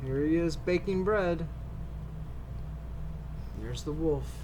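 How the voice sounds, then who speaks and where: A man reading a children's storybook aloud, narrating with expressive, deliberate phrasing. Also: fairly high pitch, noisy background. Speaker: storybook reader for schoolchildren.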